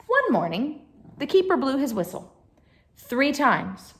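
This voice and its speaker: A woman's voice: high-pitched, very animated, unhurried. A woman reading a picture book aloud to children as a storyteller, expressively, with chatty asides to her listeners.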